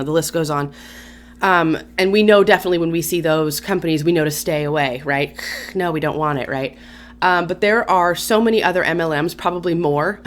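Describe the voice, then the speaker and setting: A woman's voice: low-pitched, slight background noise. A woman hosting her own channel, reading a story aloud and breaking off for casual, chatty asides with sighs and small laughs. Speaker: YouTube channel host.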